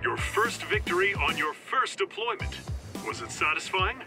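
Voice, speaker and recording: A man playing an excitable, gushing announcer and reporter in a video game, proclaiming like a breathless sportscaster. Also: high-pitched, normal speed. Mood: eager, probing. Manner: gushing, declamatory, showy. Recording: dramatic game music behind.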